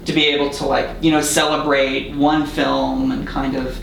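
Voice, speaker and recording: A man being interviewed as a guest, telling stories in an excited, rambling way with frequent self-corrections. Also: mid-range voice, moderate pace, creaky. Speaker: interview guest, film director and horror convention panel coordinator. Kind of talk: casual studio interview, storytelling. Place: echoey podcast studio. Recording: roomy, distant mic with faint noise.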